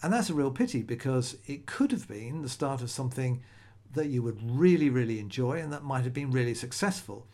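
A man's voice: mid-range voice, expressive, brisk, warm tone. A middle-aged man hosting a solo podcast, talking in a conversational, rambling way with frequent restarts. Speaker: podcast host.

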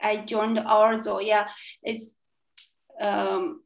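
A young woman from China with a Chinese accent, a guest in a casual interview, speaking haltingly with many fillers and restarts. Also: medium pitch, little pitch movement, vocal fry, low-quality recording. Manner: hesitant, many fillers and restarts, laughs often. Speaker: guest, language student.

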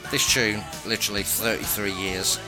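Bloke with a lowish voice, chatty jokey podcast host, rock music playing behind. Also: male voice, steady tone, normal speed, poor audio. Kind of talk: casual album-review chat. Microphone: home podcast mic.

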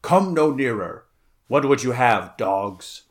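A man's voice: medium pitch, a little slow, lightly acted. A man voicing a grim, stern barbarian swordsman and pirate captain in an adventure story. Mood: stern, threatening. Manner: stern, challenging.

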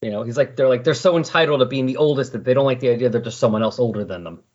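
A man's voice: medium pitch, rapid-fire, darkish tone. A man hosting a podcast in casual chat, rambling and correcting himself as he recaps, on a dull, lo-fi recording. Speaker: podcast host. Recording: dull, lo-fi sound.

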